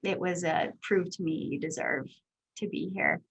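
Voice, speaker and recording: A woman in her thirties from Canada with a high voice, answering interview questions as a guest speaker in a candid, chatty way full of fillers. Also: normal speed, vocal fry, a bit bright, husky, lo-fi sound. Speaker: guest speaker, company executive.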